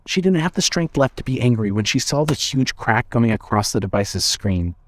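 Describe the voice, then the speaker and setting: A man's voice: medium pitch, lively intonation, moderate pace, dry recording. A man giving a dramatic, scripted narration of a horror story.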